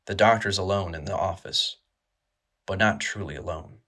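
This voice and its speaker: A man narrating a scripted horror audio drama in a measured, grave manner. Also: sits low, pushed and bright.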